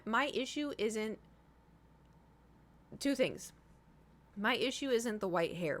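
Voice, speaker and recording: Rambling, casual woman streamer in her thirties. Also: medium pitch. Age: 30s. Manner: informal, sweary, repeats herself for emphasis. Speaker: livestream host. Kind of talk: casual rambling chat to viewers.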